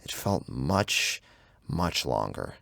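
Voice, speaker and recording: A man's voice: rumbling low, sing-song, slow pace, a bit bright, no echo, studio quality. A man narrating a first-person horror story in an intense, theatrical, scripted style. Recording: clear music bed under narration.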